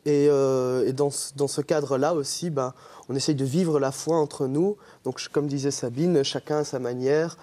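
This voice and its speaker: A man's voice: mid-range voice, steady tone, moderate pace, warm tone. Young man, Belgian French accent, earnest, explanatory, TV interview guest. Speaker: student group member, guest. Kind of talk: TV interview answers.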